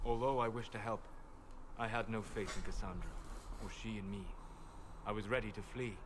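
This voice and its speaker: A man playing a calm, scholarly elven mage in a video game, speaking in a grave, measured, formal way. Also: lowish voice, slight background noise, lightly acted. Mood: grave, reflective. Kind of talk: video game dialogue acting.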